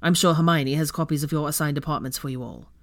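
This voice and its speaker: A woman voicing a dignified male minister who speaks in a measured, authoritative way. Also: deep voice, no room sound. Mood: firm. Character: dignified older male minister, suspicious, vain.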